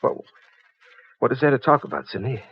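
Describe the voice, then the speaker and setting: Actor as a fast-talking nervous bank man, old radio. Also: medium pitch, expressive, a little hiss or hum, close to an everyday voice. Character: nervous, evasive male bank employee being blackmailed. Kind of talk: old-time radio crime drama acting. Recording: muffled vintage radio recording.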